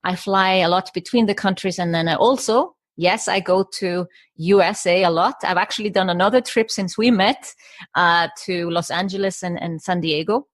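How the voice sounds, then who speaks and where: A middle-aged woman from Iceland speaks as a podcast guest in a fairly low voice. She builds her points in short stacked phrases, with the odd filler and restart. The sound is close and dry. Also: moderate pace, no room sound. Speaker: guest, business coach. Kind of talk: podcast interview.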